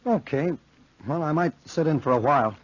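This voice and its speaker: A man's voice: on the high side, lively intonation, fairly quick, no room sound. An actor playing an earnest, anxious, lovesick young college man with strained melodrama in a 1940s radio horror play. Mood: nervous, troubled. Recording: muffled 1940s radio broadcast recording.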